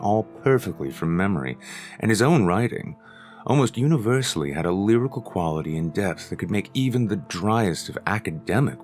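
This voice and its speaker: A man reading a short story aloud as its narrator, in a measured, even storytelling manner. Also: low voice. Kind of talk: scripted audiobook narration.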